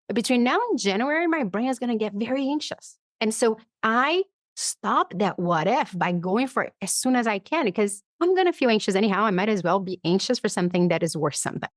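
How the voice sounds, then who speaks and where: A woman guest in a conversational interview, explaining ideas through personal examples and acting out her inner dialogue in an animated way. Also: mid-range voice, fairly quick, no echo, studio quality. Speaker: podcast guest, psychologist and author.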